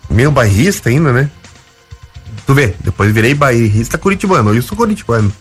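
A man's voice: mid-range voice, brisk, soft background music. A man with a gaúcho southern Brazilian accent, telling stories casually as an interview guest and acting out dialogue. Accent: Gaúcho Brazilian Portuguese. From Rio Grande do Sul, Brazil. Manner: animated, acts out quoted dialogue. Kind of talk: casual storytelling.

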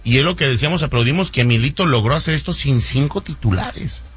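A man's voice: mid-range voice, fairly quick, fairly bright tone, husky. A Mexican man co-hosting sports talk radio, teasing his colleagues and setting up jokes with questions.

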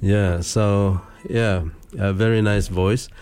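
A man hosting a radio show from a studio with a Filipino accent, chatting casually, switching between Filipino and English with many pauses and fillers. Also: on the low side, fairly even intonation, a little mellow, clean tone.

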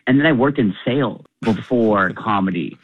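A comedian talking fast and animatedly during a phone interview, laughing often. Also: male voice, lowish voice, lively intonation, no room sound. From Canada. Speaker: comedian guest. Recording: tinny phone line.

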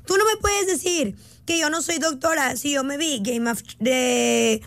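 A woman's voice: high voice, a little slow, a bit bright. A woman with a Mexican accent hosting a casual live chat in a playful, slangy, mock-indignant way. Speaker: live stream host.

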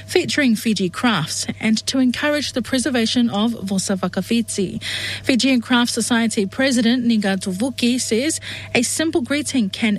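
A woman reading radio news bulletins in a steady, formal way. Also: fairly high pitch. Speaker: news presenter.

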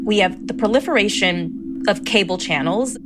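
A woman's voice: medium pitch, lively intonation, moderate pace. A woman giving thoughtful, explanatory interview answers as an expert. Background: loud music.

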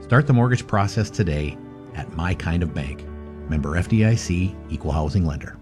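A man reading a scripted bank advertisement in a smooth, reassuring way. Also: low-pitched, animated, moderate pace, music in the background. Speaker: ad announcer. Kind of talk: scripted ad read.

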